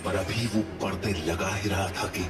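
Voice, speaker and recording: Male narrator, scripted horror, lowish voice, echoey, suspenseful storytelling, slightly distant. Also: steady tone, moderate pace, loud music behind the voice.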